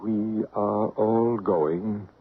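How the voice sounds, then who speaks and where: A man's voice: lowish voice, steady tone, very slow, husky, heavily acted. An actor playing an earnest, solemn man leading a séance in an old radio drama, intent and probing. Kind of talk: old-time radio drama acting and narration. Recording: narrow, noisy old radio recording.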